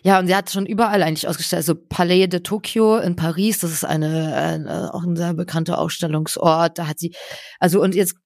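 Young adult co-host in casual chat, halting and searching for words, mid-range voice, dry sound. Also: medium pitch, fairly even intonation, no echo. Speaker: podcast co-host.

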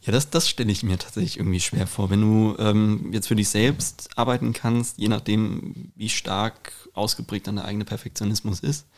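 A man with a fairly deep voice co-hosts a relaxed podcast conversation. He builds his questions loosely, halting and repeating words along the way. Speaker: podcast host. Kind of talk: casual conversational interviewing. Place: kitchen.

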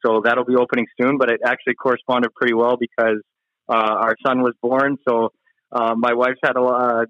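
A man giving casual, halting interview answers as a hockey player. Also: medium pitch, level tone, no room sound, lo-fi sound. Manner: hesitant, halting, frequent you-knows. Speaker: professional ice hockey player being interviewed.

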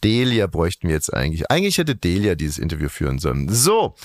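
Teasing man with a low voice. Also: huge pitch swings, fast talker, dry recording, clean studio sound. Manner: teasing, playful, jumps between bits. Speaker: podcast co-host. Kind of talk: casual comedic banter.

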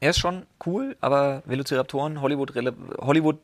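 A man co-hosting a podcast in casual chat, excitable, laughing often and swearing casually. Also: medium pitch, fast, no room sound. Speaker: podcast co-host.

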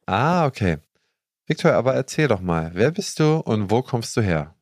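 A man's voice: mid-range voice, very animated, moderate pace, no echo, clean studio sound. A man hosting a podcast, introducing his guest and asking friendly, informal questions. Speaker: podcast host.

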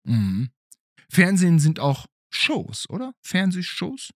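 Animated man hosting a casual interview. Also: high-pitched, slow pace, dry recording, clean studio sound. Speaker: podcast host.

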